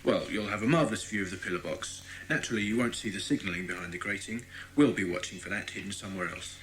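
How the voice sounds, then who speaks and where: An actor playing a friendly, obliging British police inspector in a children's audio drama, courteous and explanatory. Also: male voice, on the low side, fast, fairly bright tone, close to an everyday voice, poor audio. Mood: reassuring.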